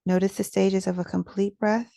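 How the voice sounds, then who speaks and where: A woman leading a guided meditation as its teacher, speaking in a gentle, soothing, instructional manner with soft pauses. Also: medium pitch, little pitch movement, creaky, dry recording, studio quality.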